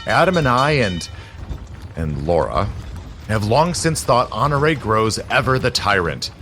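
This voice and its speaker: Cynical male conspirator for audio drama, low pitch, very animated, condescending bite. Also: a little slow, slight background noise. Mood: cynical, superior. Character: scheming, cynical male society conspirator, world-weary and superior.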